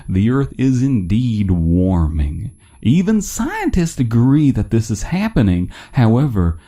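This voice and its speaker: A man's voice: on the low side, dark tone. A man playing a pompous, earnest radio preacher delivering a solemn, sanctimonious sermon with formal wording.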